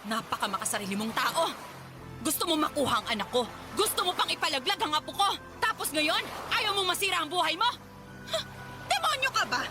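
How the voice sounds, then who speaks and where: A woman's voice: very high-pitched, very animated, a little fast. An actress playing an angry mother who scolds the man who deceived her daughter in a radio drama. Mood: scornful. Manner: scolding, contemptuous. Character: furious middle-aged mother defending daughter. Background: music.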